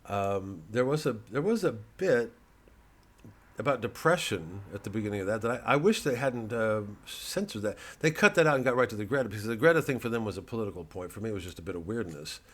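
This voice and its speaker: A man with a fairly low voice talks casually, like a comedian plugging a gig to camera. The delivery wanders and digresses, with a dry, mock-earnest edge. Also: animated, fast. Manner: rambling, digressive. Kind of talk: casual vlog promoting a live show.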